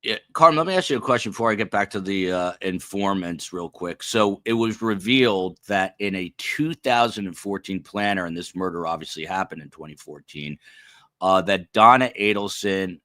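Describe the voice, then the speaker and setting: A man in his fifties with a very low, bright and forceful voice, hosting a podcast. He rambles, restarts and asks pointed questions, sounding tired. The sound is dry and close. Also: moderate pace, no echo. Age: 50s. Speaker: podcast host. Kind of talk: casual live-stream talk.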